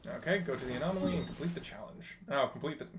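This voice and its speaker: A man's voice: mid-range voice, animated, quick pace, noisy background. A man doing live game commentary and reading on-screen text aloud, sometimes stumbling over the words. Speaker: game streamer.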